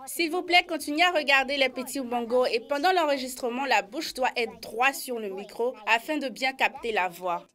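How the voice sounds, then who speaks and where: A woman's voice: sits high, moderate pace, few pauses, a bit bright. A woman voice actress speaking to young viewers at a studio microphone, introducing herself. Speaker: cartoon voice actress. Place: recording studio.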